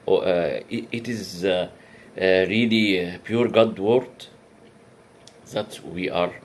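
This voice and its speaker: A man whose first language is Arabic gives a religious lecture in careful, non-native English, pausing often with fillers and restarting words. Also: low-pitched, slight background noise. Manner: halting, many uh fillers and restarts. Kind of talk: comparative religion talk.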